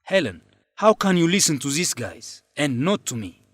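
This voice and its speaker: A man's voice: a little high, very animated, normal speed, a bit bright, slightly rough, dry recording, heavily acted. An actor playing a controlling, scheming young male student leader in an educational radio drama, defensive and insistent in manner. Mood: accusing, scornful. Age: young adult.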